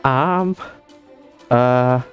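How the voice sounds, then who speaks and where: A male gaming streamer comments casually in a mid-pitched voice. He talks slowly and hesitantly in laid-back slang, with faint game music behind him. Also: highs cut off. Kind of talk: casual gameplay reaction commentary.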